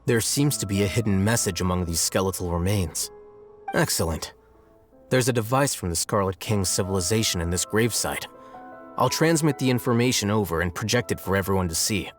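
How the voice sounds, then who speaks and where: An actor playing a composed, self-assured young scholar in a video game, speaking in a measured, dry, matter-of-fact way. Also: male voice, lowish voice, expressive, soft background music, close to an everyday voice.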